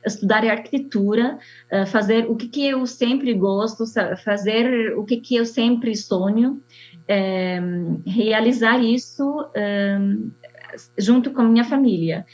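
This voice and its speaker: A young woman from Syria with an Arabic accent, an architect telling her story slowly and haltingly, with many fillers. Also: on the high side, darkish tone. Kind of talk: personal testimony. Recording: dull lo-fi sound.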